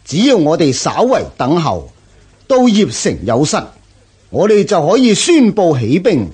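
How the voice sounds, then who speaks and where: An actor playing a proud, scornful Xiongnu chieftain in a Cantonese historical radio drama, declaiming grandly with a Hong Kong accent. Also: male voice, fairly high pitch, huge pitch swings, unhurried, darkish tone, breathy edge, heavily acted. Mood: calculating.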